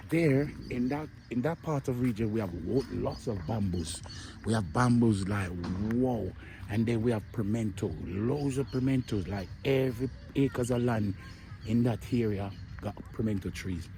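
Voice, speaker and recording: A man's voice: mid-range voice, background noise. Chatty Jamaican man vlogging outdoors. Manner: chatty, addresses viewers as "my people", often drops to a murmur. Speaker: travel vlogger. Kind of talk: casual walking vlog. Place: outdoor hotel garden.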